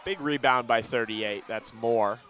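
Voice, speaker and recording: A man calling a school basketball game live, brisk play-by-play that gets loud on big shots, with a ball bouncing in the gym behind him. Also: medium pitch. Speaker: play-by-play commentator.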